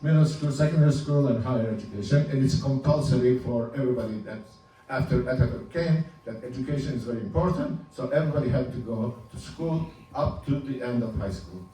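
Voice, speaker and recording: A man in his seventies, a native Ladino speaker from Turkey, giving an informal talk over slides, halting and restarting with small chuckles. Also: mid-range voice, mellow. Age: 70s. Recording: roomy, distant, faint hum.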